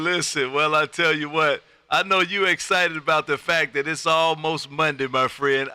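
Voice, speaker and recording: An American man in middle age with a very bright, punchy voice that sits fairly high and carries some gravel. He works the airwaves as an exuberant ham radio host, repeating his phrases for emphasis. The sound is close and dry. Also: dry recording. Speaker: amateur radio operator and livestream host. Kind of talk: lively on-air ham radio chat with showman patter. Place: home radio room.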